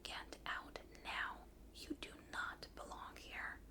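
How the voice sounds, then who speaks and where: A woman's voice: slow, lightly acted. Woman whispering as a haughty, cold young prince. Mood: sneering.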